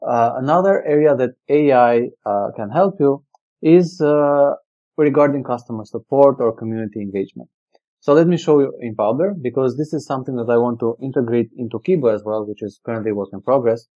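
Relaxed man demoing software on webcam. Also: medium pitch, a little fast, muffled recording. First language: Albanian. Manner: relaxed, thinking aloud with frequent "okay" and "uh". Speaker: software company presenter. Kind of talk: screen-recorded product demo. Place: indoor room, webcam corner.

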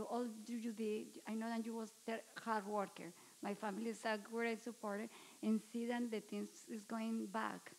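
A woman from Medellín, a native Spanish speaker with a paisa accent, asking an earnest, slightly halting question from the audience at a lecture hall microphone. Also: on the high side, a little mellow, a little hiss or hum. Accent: Colombian, Medellín (paisa). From Medellín, Colombia. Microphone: standing audience microphone.

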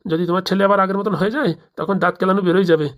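A woman acts out a hapless older village man in a cartoon comedy, a henpecked husband. The speech is extremely quick, pleading and complaining. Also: medium pitch, steady tone, natural voice. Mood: exasperated. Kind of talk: comic cartoon dialogue.

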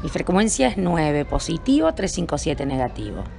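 A woman from Argentina answering interview questions at an event, speaking in a measured, self-observing and wordy way. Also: low-pitched, very animated, gravelly, warm tone, faint music behind. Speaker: event attendee being interviewed.

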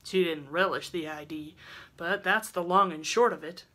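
A woman's voice: lowish voice, moderate pace, bright, forceful tone. A woman narrator acts out a hard-drinking old country woman from a storybook. She is coarse and callous, and talks in blunt, truculent rustic dialect. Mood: callous, candid. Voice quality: grunting.

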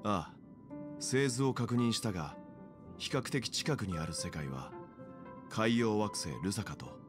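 A mature, composed mentor in an anime game. He has a deep voice and explains things thoughtfully and evenly, over clear background music. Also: expressive. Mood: businesslike. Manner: measured, explanatory.

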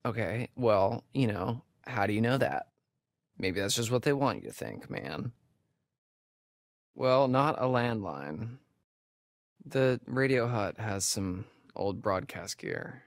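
An actor playing a sarcastic young American camp counselor in a horror video game, hesitant and conversational in delivery. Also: male voice, fairly low pitch, big pitch swings, slow, creaky, no echo, clean studio sound, full character voice. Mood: defensive, wry. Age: young adult. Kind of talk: naturalistic horror game dialogue.

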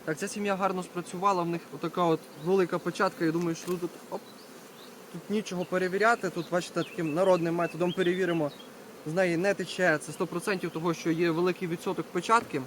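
High-voiced man over buzzing insect noise. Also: steady tone, fast talker. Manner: talks to viewers while working, repeating short phrases. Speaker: beekeeper presenting a video. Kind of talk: casual hands-on demonstration. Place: outdoors at an apiary. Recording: outdoor recording with buzzing bee noise. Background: buzzing bees and insects.

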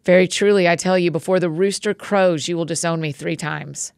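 A calm, gentle male teacher speaks with quiet authority, as a woman reads his lines. The voice sits low with a slight gravelly fry. It is a dry, close recording. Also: dry recording. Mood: solemn. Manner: measured, solemn reading.

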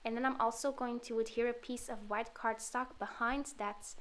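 A woman narrating a card-making tutorial in a relaxed, step-by-step way, with a slightly sore throat from a cold. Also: on the high side, moderate pace. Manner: relaxed step-by-step explaining with fillers. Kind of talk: voice-over craft tutorial.

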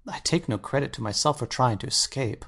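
An actor playing an earnest, anxious painter in a dramatic audiobook, speaking intensely and articulately. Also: male voice, mid-range voice, brisk, creaky, fairly bright tone. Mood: fervent, troubled. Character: earnest, anxious male painter confessing his obsession.

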